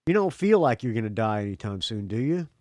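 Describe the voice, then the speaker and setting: Guy hosting a rock podcast, faded southern accent, real animated, close dry sound. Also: on the low side, fast talker, no room sound. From Jacksonville, Florida, US. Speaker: podcast host. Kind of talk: casual phone chat on a rock music podcast.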